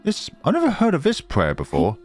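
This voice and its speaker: A man gives informal gaming commentary with a lively, swooping delivery. He talks casually and incredulously, with faint music in the background. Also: a little high, brisk. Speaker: gaming streamer. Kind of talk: casual let's play commentary.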